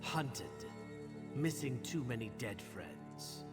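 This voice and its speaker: A somber male thief from a video game, terse and deliberate yet expressive in pitch. Music plays clearly behind the voice. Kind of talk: scripted video game dialogue.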